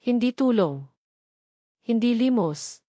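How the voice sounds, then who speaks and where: A woman's voice: a little high, lively intonation, slow pace, warm tone, dry recording, studio quality. A woman voicing a remorseful, determined man in a romance storybook narration, solemn and earnest. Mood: solemn determination. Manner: solemn, contrite, resolute.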